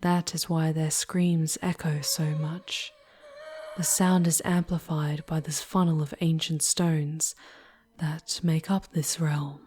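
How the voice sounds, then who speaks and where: A woman acts out an alluring female demon guide for an ASMR roleplay. Her voice is fairly low and husky with breath, often close to a whisper. She takes her time over formal, storybook phrases, affectionate and teasing toward the listener. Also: little pitch movement, a little mellow, heavily acted. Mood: measured, explaining. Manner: slow, formal, old-fashioned storybook diction.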